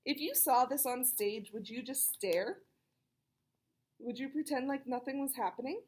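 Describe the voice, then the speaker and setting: A woman reading a children's picture book aloud, expressive and chatty, with casual explanatory asides for young listeners. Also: high-pitched, moderate pace. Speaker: read-aloud storyteller.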